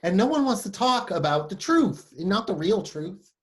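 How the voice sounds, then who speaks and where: A man's voice: high voice, very animated, moderate pace. A man in a play reading over video call, playing a shy, earnest young poetry lover, hesitant and apologetic.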